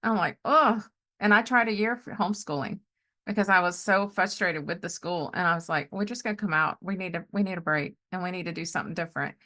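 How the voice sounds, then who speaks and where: A middle-aged woman hosting a podcast in a casual, animated chat, often breaking into easy laughter. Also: on the low side, fast. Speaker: podcast host.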